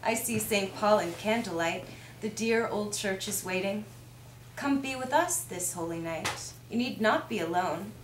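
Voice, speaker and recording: A woman giving a measured, reflective live reading of poetry. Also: mid-range voice. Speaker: poem reader. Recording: echoey room with faint noise.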